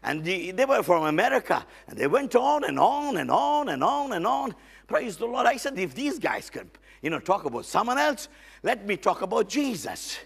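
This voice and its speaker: A middle-aged man preaching a lively sermon as a guest on a church stage, loud and excitable, with frequent amens and hallelujahs. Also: high voice, normal speed, gravelly, breathy edge. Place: church stage with band instruments.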